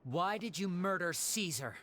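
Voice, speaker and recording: An actress playing a composed, probing investigator in a video game, questioning with cool, measured authority. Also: female voice, on the low side, lively intonation, fairly bright tone. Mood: stern pressing. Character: composed, probing female investigator confronting a criminal.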